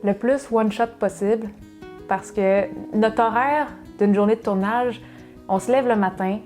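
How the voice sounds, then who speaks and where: A woman from Quebec with a Québécois accent chats through a relaxed Q&A, quick and full of restarts. Her voice is mellow and soft-edged, with faint music playing under it. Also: mid-range voice. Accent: Québécois French. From Quebec, Saguenay. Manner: chatty, fast, with many fillers and restarts. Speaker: cooking channel co-host. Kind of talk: casual Q&A chat.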